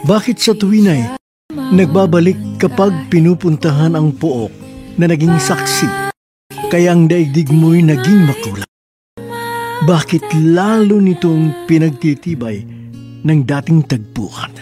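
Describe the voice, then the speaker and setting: A male radio drama host with a fairly high voice. He narrates in a measured, sentimental way, reading from a script. Music plays clearly underneath. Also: expressive.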